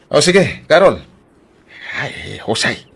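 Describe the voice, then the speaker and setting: A man with an Ilocano accent acting out an audio drama in an expressive, shifting way. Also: mid-range voice, drawn-out words, creaky, slightly rough.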